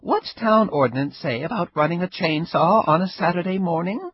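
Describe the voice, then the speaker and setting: A stubborn, sharp-tongued old grandmother, as a male storyteller puts her on, speaking in a husky, breathy voice pitched high with lively swoops. The sound is dull and lo-fi. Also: normal speed, dry recording. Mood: wry. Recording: dull lo-fi recording.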